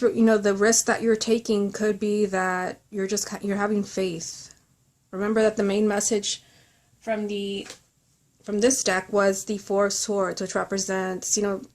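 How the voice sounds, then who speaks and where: Woman tarot reader, mid pitch voice, talking straight to you, earnest and thoughtful, informal card reading. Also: darkish tone.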